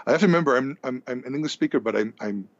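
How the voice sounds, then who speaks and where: A man's voice: mid-range voice, rapid-fire, dry recording. Man telling a story, lo-fi. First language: Hebrew. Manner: animated storyteller, stumbles and repeats words. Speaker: podcast guest. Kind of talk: casual storytelling conversation.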